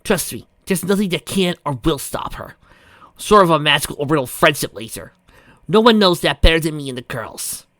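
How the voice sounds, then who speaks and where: A man voicing a brash, defiant tomboyish teenage girl who talks loud and taunting, pitched well above his own voice. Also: animated, brisk, no echo.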